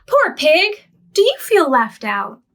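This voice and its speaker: A woman doing an eager, high little girl. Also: wildly swooping pitch, slow pace, slight background noise, heavily acted. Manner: bright, eager, sing-song exclamations. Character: cheerful, imaginative young girl.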